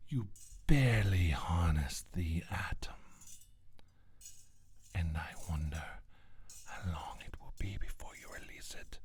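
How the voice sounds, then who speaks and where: A man voicing a scornful, menacing alien reptilian scientist in a soft-spoken, half-whispered ASMR roleplay, taunting and condescending, with a breathy, husky voice. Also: sits low, heavily acted. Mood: cold menace.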